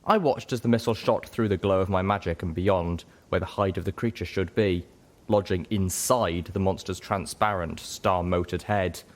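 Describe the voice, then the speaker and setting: Very low-voiced man narrating a story. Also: fairly even intonation, normal speed. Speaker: audiobook reader.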